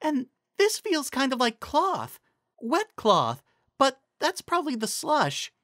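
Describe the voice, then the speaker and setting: An anxious, excitable young female pony from a fantasy story, as put on by a narrator. The voice sits high and swings widely as she explains things, with a bright edge and a close, dry sound. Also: slow, dry recording, heavily acted. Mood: puzzled. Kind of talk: dramatic fan fiction reading.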